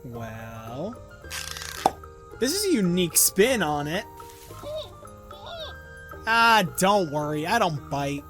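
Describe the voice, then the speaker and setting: An actor playing a playful, macabre, slow-witted skeleton prankster in an audio drama, joking and easygoing. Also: female voice, fairly low pitch, sing-song, music in the background. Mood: playful, teasing.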